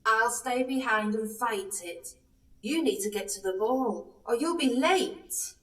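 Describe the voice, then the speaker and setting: A woman's voice: on the high side, unhurried, a bit bright, recorded from a distance, poor audio. A woman playing a scatty, bossy but kindly fairy godmother in an audio pantomime, with exaggerated theatrical delivery. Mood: flustered.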